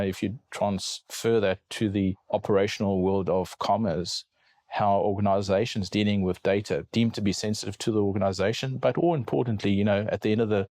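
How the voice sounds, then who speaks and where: A middle-aged man with a South African accent, speaking as a guest in a podcast interview, measured and repeating words as he thinks. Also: fairly low pitch, a little mellow, dry recording. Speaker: guest cybersecurity lawyer.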